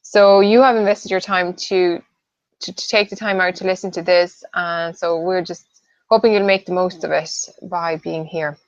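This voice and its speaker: A woman in her late thirties from southern Sweden, co-hosting a webinar as a nutritional therapist and speaking casually with frequent ums and pauses. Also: lowish voice, fairly even intonation, dry recording. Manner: hesitant, many ums and "I suppose". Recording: dull, dry lo-fi audio.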